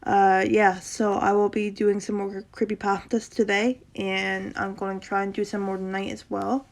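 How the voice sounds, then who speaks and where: A woman with a sore throat reads a horror story in a casual way, her voice gravelly and slightly raspy. Her delivery stays fairly flat and even. She often trips over words, corrects herself and adds quick casual reactions between passages. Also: mid-range voice. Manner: stumbles and corrects herself while reading.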